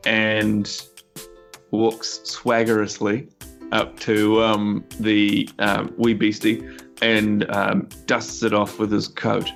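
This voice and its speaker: A man with a New Zealand accent tells his part of a tabletop game in a low, gravelly voice. He is wry and wordy, picking his words haltingly, with soft music underneath. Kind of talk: casual improvised storytelling. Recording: music playing behind the voice. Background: background music.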